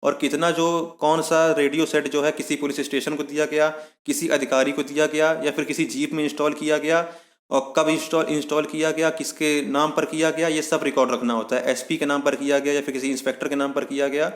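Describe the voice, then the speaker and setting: A man's voice: fairly high pitch, a little fast. A young man presenting an exam-coaching explainer, speaking steadily and restating points in other words. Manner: steady explaining, often rephrasing with "matlab". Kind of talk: explainer on a job profile. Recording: echoey room.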